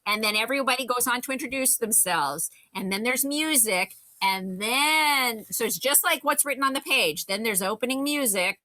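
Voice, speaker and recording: A woman's voice: a little high, lively intonation, moderate pace, hardly any pauses, bright, forceful tone, dry recording, rough recording. A woman drama instructor giving casual, effusive, encouraging notes to her cast.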